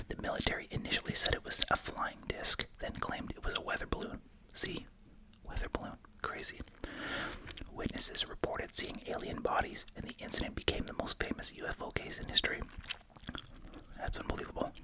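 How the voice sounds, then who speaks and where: An ASMR host speaking entirely in a soft, breathy whisper, musing casually while chewing gum. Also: low-quality recording.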